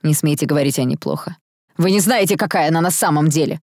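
Casting: female reader as protective young man, stern, clipped and quick to anger, low-pitched, storybook drama. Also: a little mellow, no echo, clean studio sound. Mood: furious. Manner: blunt, through clenched teeth, stern. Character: hot-tempered, broad-shouldered young man defending his sister.

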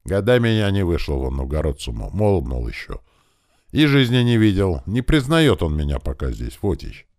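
A man voicing a young, burly carpenter foreman with a bassy voice and a plain, deferential manner, in an audiobook story. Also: sing-song, normal speed, a little mellow, no echo, studio quality. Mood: aggrieved.

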